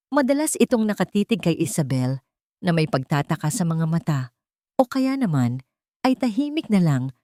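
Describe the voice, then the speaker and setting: A woman's voice: on the low side, dark tone, dry recording, clean studio sound. A woman reading a scripted romantic drama story as a narrator.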